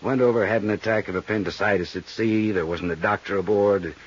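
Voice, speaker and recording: A big, easygoing American man in his late forties, an accountant in a 1950s radio drama. His voice is fairly low and husky, and he rambles in a folksy, chatty way. The sound is dull, like old radio. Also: fairly quick. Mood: chatty, explaining.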